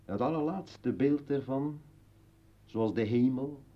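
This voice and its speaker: An actor playing a brooding, philosophical old man with bitter irony in a declamatory, halting radio play monologue. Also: medium pitch. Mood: musing, ironic. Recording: dull vintage radio drama recording.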